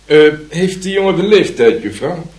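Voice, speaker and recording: A man's voice: a little high, big pitch swings, normal speed, darkish tone. Officious male museum attendant in echoey room. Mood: stern. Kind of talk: radio play acting.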